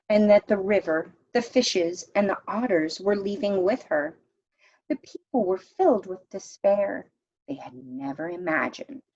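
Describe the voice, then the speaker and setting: A woman teacher reading a story aloud expressively, with deliberate dramatic pauses and hushed phrases. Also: lowish voice, muffled recording.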